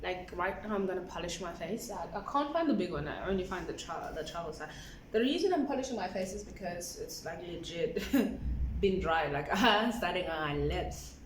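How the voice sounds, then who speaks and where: A woman's voice: on the low side, expressive, normal speed, slight background noise. Chatty woman in her twenties. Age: 20s. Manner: chatty, rambling, fills with "like", laughs often. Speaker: vlogger. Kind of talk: casual vlog chat. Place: indoor room at home. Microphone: camera on a stand. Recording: roomy, slightly distant mic with faint ambience.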